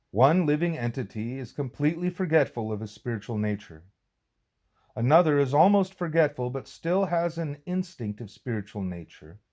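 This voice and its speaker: A man narrates a religious text in an audiobook style. His voice sits at an average male pitch. He reads formally, with measured and deliberate phrasing that sets each clause apart. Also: a little mellow. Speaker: reader of a spiritual text.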